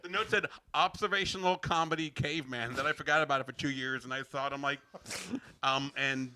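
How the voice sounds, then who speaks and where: A man's voice: medium pitch, fairly quick, bright, forceful tone, a little hiss or hum. A man guesting on a comedy podcast, talking hesitantly with many fillers and laughing at himself. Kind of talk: casual chat.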